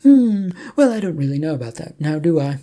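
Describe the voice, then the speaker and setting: A woman's voice: deep voice, big pitch swings, a little fast, mellow. A woman voicing a pompous, fretful male king in an audio storybook, blustering and dithering. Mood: fretful, self-important. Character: flustered, pompous, fretful male king, self-absorbed bureaucrat.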